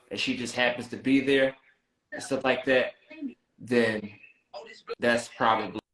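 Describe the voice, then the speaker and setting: A man's voice: mid-range voice, a bit bright. Rambling man in his thirties, echoey. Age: 30s. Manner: rambling, restarts his sentences, explains at length. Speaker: guest seeking advice. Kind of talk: casual chat. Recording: roomy echo.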